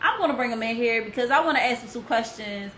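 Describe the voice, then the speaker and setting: A woman hosting a casual online interview show, enthusiastic and affectionate, quick to laugh. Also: fairly high pitch, expressive, fairly bright tone, roomy sound, a little hiss or hum. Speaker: show host.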